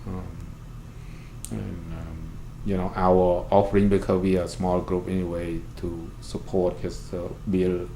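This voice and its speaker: A man with a Cambodian accent, a native Khmer speaker, recounts his experiences in halting, simply phrased English in an interview. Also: low-pitched, little pitch movement, warm tone. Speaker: interviewee, refugee services worker and pastor. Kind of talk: oral history interview, recounting personal experience. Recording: roomy echo, clear background noise.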